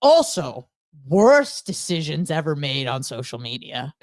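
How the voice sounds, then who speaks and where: A young woman chatting casually and telling gossipy stories in an animated, blunt, teasing way, acting out dialogue as she goes. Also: low-pitched, unhurried, dry recording, clean studio sound. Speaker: radio show co-host. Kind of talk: casual chat, gossipy storytelling.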